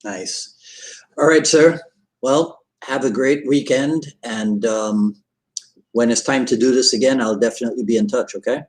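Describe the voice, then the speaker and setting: A man's voice: medium pitch, moderate pace, low-quality recording. A man hosting a martial arts podcast, talking in an upbeat, chatty way and joking with his listeners. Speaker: podcast host. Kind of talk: casual interview talk and sign-off.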